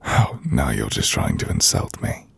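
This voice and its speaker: A man playing an ancient, brooding vampire lord in an intimate ASMR roleplay, speaking formally and drily in a gravelly, breathy near-whisper. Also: a bass voice, huge pitch swings, exaggerated voice. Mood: dry teasing. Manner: formal, measured, dry. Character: ancient brooding vampire lord, dryly teasing, courteous host.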